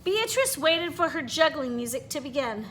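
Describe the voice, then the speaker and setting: A woman reading a children's picture book aloud in an expressive, animated way, pausing to put questions to her listeners. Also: high voice, slight background noise. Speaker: read-aloud storyteller.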